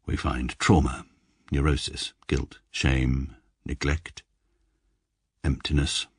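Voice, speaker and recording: An English actor playing a stiff, pedantic middle-aged male barrister who narrates in a dry, clipped, deadpan manner for a radio comedy drama. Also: very deep voice, takes it slowly, husky, no room sound, heavily acted.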